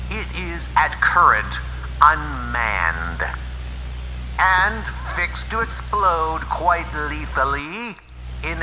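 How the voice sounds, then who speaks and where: A man playing a pompous, theatrical villain airship commander, delivering a mock-polite, menacing ultimatum in a comic dub. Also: fairly high pitch. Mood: mocking threat. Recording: long hall reverb, music underneath.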